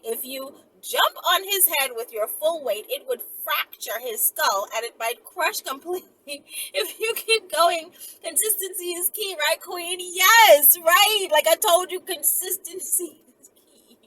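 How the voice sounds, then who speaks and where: Animated, high-pitched woman chatting sassily. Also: a little slow, low-quality recording. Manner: animated, sassy, digressive, interjecting. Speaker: host of her own video channel. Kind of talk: casual chat to viewers, reading out messages with commentary.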